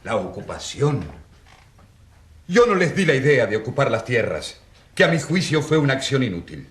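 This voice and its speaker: A male actor as a country priest in a radio play, earnest and defiant. His mid-pitched voice is solemn yet moves widely as he defends himself. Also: very animated, normal speed, lightly acted. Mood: earnest defensive. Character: earnest, defiant rural priest standing accused. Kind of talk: radio drama acting.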